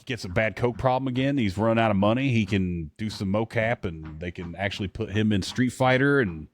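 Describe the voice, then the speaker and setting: A man's voice: fairly low pitch, a little fast. A man chatting casually as a podcast co-host, rambling and bluntly swearing as he reacts to what he watches. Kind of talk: casual chat reacting to trailers.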